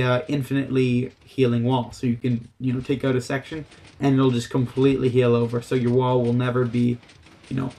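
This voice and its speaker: A man's voice: mid-range voice, darkish tone, a little hiss or hum. A man giving a casual, unscripted game tutorial, explaining things in a loose, hesitant way. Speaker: gaming tutorial host.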